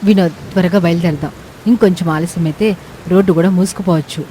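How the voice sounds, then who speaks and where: A woman's voice: lowish voice, steady tone, brisk, dark tone, slight background noise, close to an everyday voice, low-quality recording. Woman acting a haughty, spiteful young daughter-in-law. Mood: urgent. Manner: scornful, snappish.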